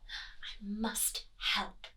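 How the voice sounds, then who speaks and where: A woman voicing a small, earnest storybook pea in a breathy, urgent, deliberate whisper. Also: sharp tone, far from the mic, heavily acted.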